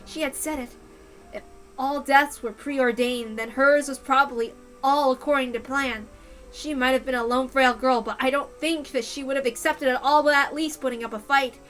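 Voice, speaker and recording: A woman's voice: high voice, fairly even intonation, moderate pace, a bit bright. A woman reading a story aloud as a dramatic first-person narrator, in a mournful, intense manner. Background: music.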